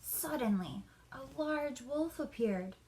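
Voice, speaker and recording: A woman reading a children's story aloud in an expressive, deliberate storyteller's manner. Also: high voice, a little hiss or hum, low-quality recording.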